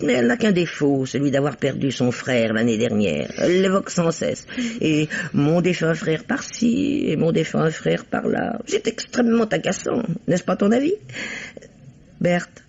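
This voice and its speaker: An actress playing a bossy but doting elderly French spinster in a radio mystery play, theatrical and primly scolding, with a husky voice. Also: female voice, low voice, animated, moderate pace, a little mellow, dull audio, exaggerated voice. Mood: brisk, exasperated. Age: 70s.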